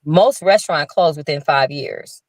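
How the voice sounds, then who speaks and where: A woman's voice: sits low, moderate pace, no echo, low-quality recording. An older woman and restaurant owner, a guest telling her story in an earnest, casual way with frequent restarts over a video call. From Chicago. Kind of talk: casual interview talk.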